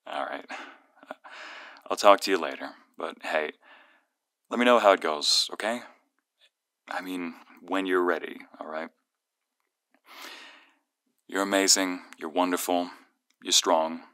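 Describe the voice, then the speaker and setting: A man's voice: sits low, pushed and bright, dry recording, studio quality, full character voice. A man playing a playful, protective young male friend who is secretly fond of the listener, in a one-sided ASMR roleplay phone call with teasing, earnest banter. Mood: gentle, reassuring.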